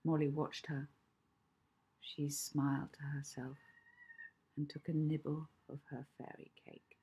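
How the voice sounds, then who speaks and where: A middle-aged woman reading her children's book aloud at home in a measured storytelling way. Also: low-pitched, flat delivery, warm tone, husky, rough recording.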